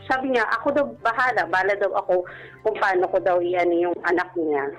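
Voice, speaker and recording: A woman's voice: mid-range voice, brisk, rough recording. Polite Filipino woman telling her story. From Philippines. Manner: polite, deferential. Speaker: radio show caller, former overseas domestic worker. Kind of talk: personal storytelling in casual interview. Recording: music bed behind voice. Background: background music.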